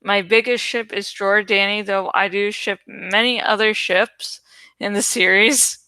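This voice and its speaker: A woman's voice: medium pitch, fairly even intonation, unhurried, pushed and bright, clean tone, no room sound. A woman host talking casually to her viewers, rambling in an irreverent, sweary, giggly way. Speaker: host of a reading channel.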